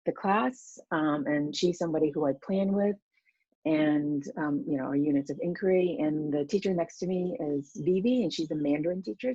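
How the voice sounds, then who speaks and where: A woman's voice: low-pitched, warm tone, no room sound, lo-fi sound. A woman in her thirties, originally from Vietnam, giving a conference presentation over a video call in a casual way, with many fillers like "um" and "you know". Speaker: teacher educator presenting. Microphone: computer mic on a video call.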